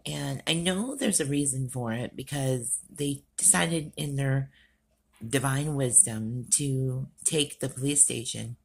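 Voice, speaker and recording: A middle-aged woman vlogger with a raspy voice, sounding tired and worn out, rambling earnestly with frequent ums in an unscripted rant. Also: slow pace. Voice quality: raspy, gravelly low voice. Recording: faint outdoor work noise behind.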